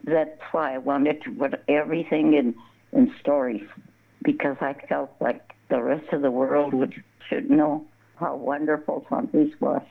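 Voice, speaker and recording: Gravelly older woman on a phone line. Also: sits low, lively intonation, unhurried. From southern Colorado. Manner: rambling, restarts words, laughs often. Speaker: guest, embroidery artist. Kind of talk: casual interview, storytelling.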